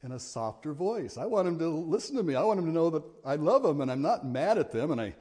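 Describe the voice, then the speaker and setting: Middle-aged guy preaching, big voice, earnest, gets forceful when he's urging folks. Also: a little high, big pitch swings, fast. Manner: earnest, building to forceful exhortation. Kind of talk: sermon.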